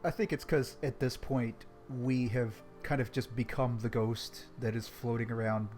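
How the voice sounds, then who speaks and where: A man's voice: mid-range voice, steady tone, normal speed, darkish tone, soft background music. A man co-hosting a podcast, giving casual commentary on a story in a group discussion. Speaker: podcast co-host.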